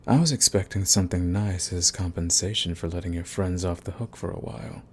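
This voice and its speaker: A man's voice: deep voice, steady tone, a little fast, slightly rough. A man playing a smug, flirtatious villain who is fond of the enemy, in an intimate ASMR romance roleplay with taunting, drawling menace. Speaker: audio roleplay voice actor.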